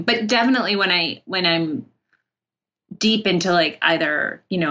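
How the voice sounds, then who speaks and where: Bright-voiced woman, chatty and rambling. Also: on the low side, vocal fry, lo-fi sound. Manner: rambling, animated storytelling with many 'like' asides. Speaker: interview guest, author. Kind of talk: casual chat.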